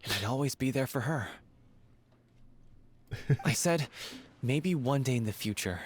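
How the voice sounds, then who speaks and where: A man's voice: medium pitch, expressive, unhurried. An actor voicing an earnest, heartbroken young man from a theatre troupe in a dramatic video game performance. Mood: wistful.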